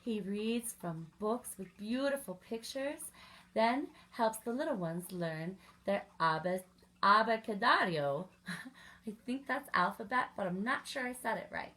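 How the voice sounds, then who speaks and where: A woman's voice: mid-range voice, expressive, measured pace, bright, forceful tone. A woman reading aloud to young children as a story time host, expressively and with little asides to the listeners.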